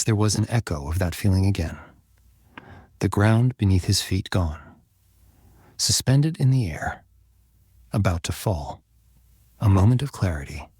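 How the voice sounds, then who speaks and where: A man narrates a dramatic serialized story in a low voice with a husky, breath-worn grain. The recording is dry and close, with no room around the words. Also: darkish tone, no room sound. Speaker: audiobook narrator. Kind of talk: scripted dramatic fiction narration.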